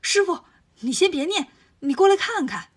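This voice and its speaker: A woman voicing a bold, impatient male monkey king who talks brisk and cocky. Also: high voice, expressive, fairly bright tone, breathy edge, exaggerated voice.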